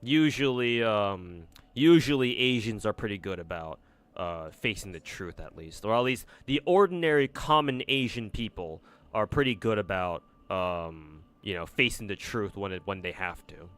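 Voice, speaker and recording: A man's voice: on the low side, animated, moderate pace, fairly bright tone, clear, resonant tone, dry recording. A young man with an American accent, chatting casually on a livestream while gaming, rambling and swearing with many restarts. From United States. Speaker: streamer.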